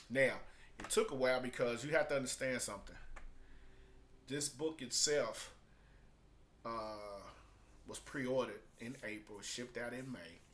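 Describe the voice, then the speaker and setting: A man's voice: mid-range voice, fairly bright tone. A man talking in a casual vlog review style, conversational and emphatic, repeating phrases to drive points home. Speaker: product reviewer and online marketer.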